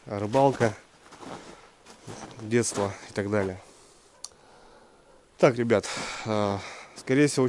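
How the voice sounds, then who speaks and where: A man recovering from a cold, talking casually and haltingly to his viewers in an outdoor vlog about gear. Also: medium pitch, darkish tone, slight background noise. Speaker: fishing vlogger presenting a gear review. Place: outdoors in deep snow by a garage.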